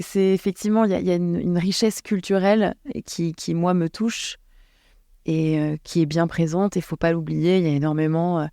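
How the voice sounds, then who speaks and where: A woman's voice: fairly low pitch, vocal fry, warm tone, dry recording, studio quality. A woman guest talking thoughtfully in a casual podcast conversation, restarting her sentences often. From France. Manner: thoughtful, hesitant with many restarts.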